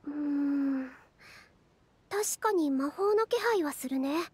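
Sweet gentle magic girl in an anime game, really high, careful polite talk, dry clean recording. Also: animated, slow pace, no room sound. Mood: careful, pondering. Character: gentle, considerate young woman with magical gifts. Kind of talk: anime-style video game voice acting.

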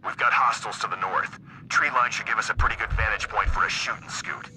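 An actor playing a tough, urgent soldier in a video game, giving clipped military commands. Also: male voice, on the low side, fast talker, natural voice, rough recording. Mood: tense, commanding. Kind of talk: video game combat acting. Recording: music behind the voice.

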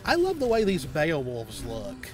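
A man's voice: a little high, wildly swooping pitch, unhurried, darkish tone. A man in his thirties chatting casually as he hosts a reaction video. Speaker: reaction show host. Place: small indoor room.

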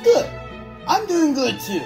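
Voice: sits high, sing-song, slow pace, vocal fry, loud music behind the voice, heavily acted. An actor voicing a friendly, chatty member of a cartoon band.

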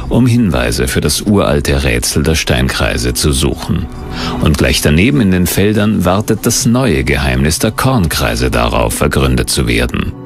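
A man reading scripted documentary narration in a measured, mysterious storytelling tone. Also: very deep voice.

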